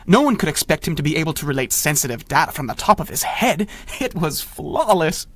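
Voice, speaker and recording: An actor playing an earnest, self-assured government spymaster and inventor in a radio drama, articulate and insistent. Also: male voice, on the high side, very animated, brisk, no room sound. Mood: earnest, measured. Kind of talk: 1930s-style radio drama acting.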